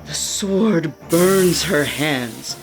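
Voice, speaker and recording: A woman's voice: low-pitched, lively intonation, very slow, poor audio. A woman reading scripted narration for a radio play, describing the action as narrator. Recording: music behind the narration. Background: background music.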